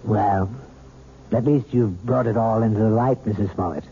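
An actor playing a calm male psychiatrist who speaks in a measured, soothing way, in a 1970s radio drama. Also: lowish voice, breathy edge. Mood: reassuring. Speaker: doctor. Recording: tinny 1970s radio broadcast with hiss.

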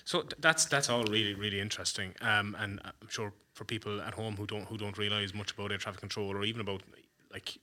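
A man's voice: on the low side, steady tone, fast, sharp tone. A man with an Irish accent hosting a podcast, asking informal questions in a rambling, hesitant way. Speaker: podcast host. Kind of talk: informal interviewing.

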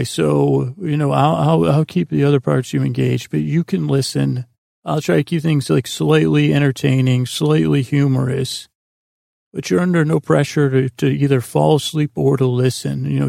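A man with a gravelly, creaky voice hosting a bedtime podcast in a meandering, halting monologue that trails off mid-thought. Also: medium pitch, warm tone, no echo, clean studio sound. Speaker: podcast host.

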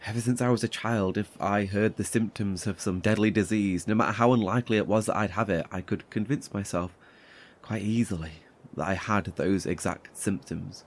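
An actor playing a weary, anxious survivor in a horror audio drama, speaking haltingly with sighs and nervous chuckles. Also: male voice, on the low side, fairly even intonation. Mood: subdued, confessional. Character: weary, anxious male survivor, wry and haunted.

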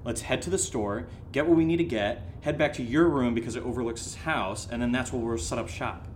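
A man playing an impulsive, eager young American schemer in a comedic audio drama, talking in a casual, rambling, excitable way. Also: a little fast, natural voice. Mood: excited, eager. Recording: low-level home recording, faint noise.

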